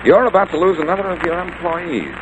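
An actor playing a cool, confident amateur detective in a 1940s radio drama, wry and needling. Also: male voice, sits high, expressive, a little fast. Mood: accusing, wry. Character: cool, wisecracking amateur detective, sure of himself. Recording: noisy old-time radio recording.

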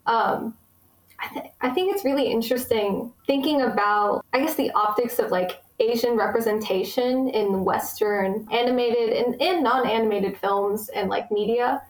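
A woman's voice: a little high, moderate pace, roomy sound, low-quality recording. A young woman from the United States, talking casually and eagerly, with many fillers. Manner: chatty, many fillers, enthusiastic. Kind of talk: casual conversation.

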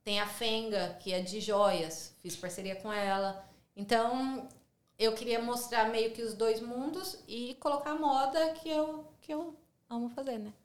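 A woman's voice: on the high side, steady tone, measured pace. A woman from São Paulo with a São Paulo accent, a podcast guest talking casually and chattily, often tagging her sentences with questions. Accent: Brazilian Portuguese, São Paulo. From São Paulo, Brazil. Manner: chatty, frequent tag questions. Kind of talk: casual conversational interview. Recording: roomy echo.

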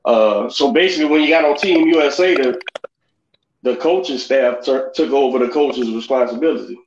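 Guy cohost rambling questions, lots of uh, echoey dull sound with a bit of hiss. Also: mid-range voice. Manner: halting, many restarts and "uh"s. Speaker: podcast co-host. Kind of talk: casual interview questions. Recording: roomy, dull, slight hiss.

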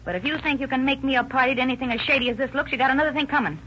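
A wary young wife with a slightly high, earnest voice. She speaks anxiously and sounds dull and lo-fi, with faint hiss, like an old radio broadcast. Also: racing, sharp tone. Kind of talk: 1930s radio drama acting.

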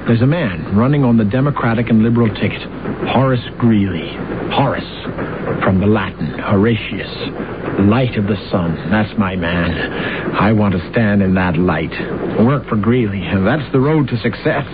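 An actor playing a scheming, boastful lawyer husband in a radio drama, smooth-talking and theatrical. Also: male voice, on the low side, lively intonation, moderate pace, natural voice. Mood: grandiose. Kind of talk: 1970s radio drama acting. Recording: tinny hissy old radio broadcast.

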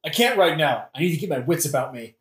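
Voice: mid-range voice, animated, fast talker, fairly bright tone, echoey room, close to an everyday voice. A performer playing a flippant, sarcastic, world-weary young prince in a modern comic audioplay, using casual slangy speech. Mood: casual, cocky. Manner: sardonic, slangy.

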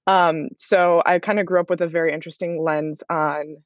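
A young woman from Chicago speaking as a podcast guest in a measured, thoughtful way, pausing as she goes. Her voice sits a bit low. The sound is dry and a little lo-fi. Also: steady tone, dry recording. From Chicago, United States. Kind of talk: casual chat.

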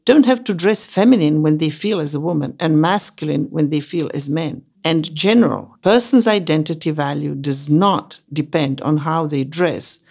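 Co-host, non-binary with a woman's voice, Greek native, somewhat low pitch, scripted explainer delivery. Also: normal speed, vocal fry, hardly any pauses, a little mellow, breathy edge. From Greece. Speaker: podcast co-host. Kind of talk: scripted educational dialogue.

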